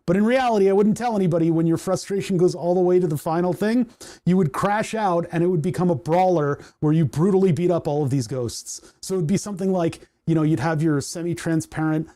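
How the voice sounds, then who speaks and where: Livestream host, male, fairly high voice, mellow tone, rambling and chatty, a bit hoarse. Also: fast. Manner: rambling, chatty, laughs often, reads chat aloud. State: voice starting to go hoarse. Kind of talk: casual livestream chat while coding.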